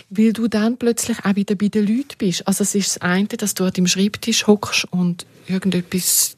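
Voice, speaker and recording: Female interview guest, Swiss German accent, raspy tone, close dry recording, conversational and firmly opinionated. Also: medium pitch, a little mellow, no room sound. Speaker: interview guest, former radio producer. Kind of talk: conversational reminiscing.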